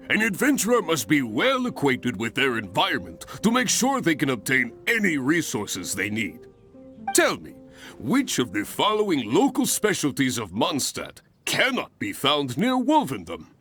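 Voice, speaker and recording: An actor playing a hearty, encouraging guild examiner in a video game, with a jovial, theatrical manner. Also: male voice, mid-range voice, huge pitch swings, a little slow, a bit bright, faint music behind. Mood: instructive, theatrical. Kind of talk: video game character acting.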